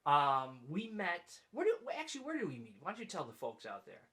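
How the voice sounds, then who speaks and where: A man's voice: fairly high pitch, huge pitch swings, fairly quick, sharp tone. Chatty man hosting an interview. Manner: chatty, lots of fillers and restarts. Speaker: podcast host. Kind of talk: casual interview.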